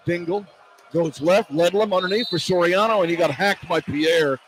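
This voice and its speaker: Male radio sportscaster, basketball play-by-play, clipped running calls, fairly high voice, loud arena ambience behind. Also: normal speed. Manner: clipped running description of the action. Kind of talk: live basketball play-by-play commentary. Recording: noisy live arena broadcast. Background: arena crowd ambience.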